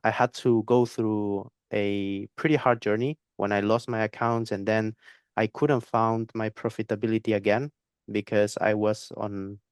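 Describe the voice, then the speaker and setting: Young male guest, clear resonant tone, dry close sound, halting delivery with fillers. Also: lowish voice, little pitch movement, no echo. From Spain. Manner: hesitant, repeats words, many fillers. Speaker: guest trader. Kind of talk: interview conversation.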